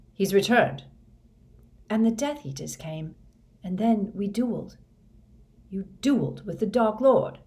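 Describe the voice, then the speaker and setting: Storybook hero: scared, bewildered teen boy , played by a female narrator, pitched up, breathless and earnest. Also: dark tone. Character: frightened, bewildered, earnest teenage wizard boy.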